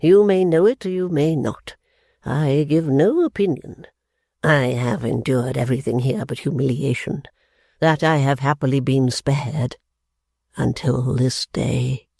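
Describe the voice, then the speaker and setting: A proud, wounded older father who speaks slowly and haltingly with pompous, mournful dignity, put on by a woman reader. The voice sits low and dark, read close and dry. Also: vocal fry, no echo, full character voice. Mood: aggrieved.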